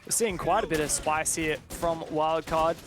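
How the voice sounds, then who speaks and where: A man doing live play-by-play esports commentary with a dramatic delivery that builds excitement around the action. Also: fairly high pitch, moderate pace, music in the background.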